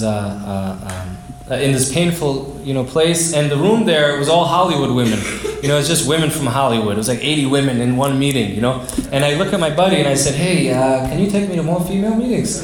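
A man's voice: mid-range voice, fairly quick, reverberant, crowd noise, poor audio. A man in his late thirties from New York City, telling his recovery story at a twelve-step meeting, rambling with lots of fillers and restarts and laughing at himself. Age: 30s. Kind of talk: personal recovery share.

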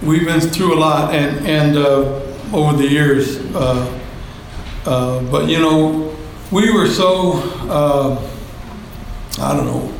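A man's voice: on the high side, takes it slowly, a little hiss or hum, poor audio. An older man sharing his story at a support group meeting, rambling and folksy with many fillers, speaking into a handheld mic in a meeting room. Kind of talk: personal share at a recovery meeting. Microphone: handheld microphone.

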